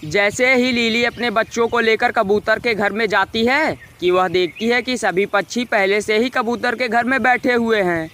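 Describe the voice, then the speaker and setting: Male narrator, very high pitch, scripted cartoon storytelling. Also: normal speed, hardly any pauses, pushed and bright.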